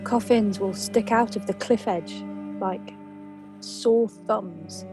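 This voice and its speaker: A woman's voice: mid-range voice, slow pace. A woman delivering poetic scripted narration in an audio play. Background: music.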